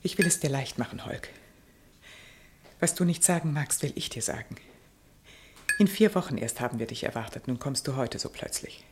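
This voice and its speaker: An actress playing a proud, bitter forsaken wife in a German radio drama, husky-voiced, austere and deliberate. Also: female voice, a bass voice, animated, background noise. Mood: bitter, controlled.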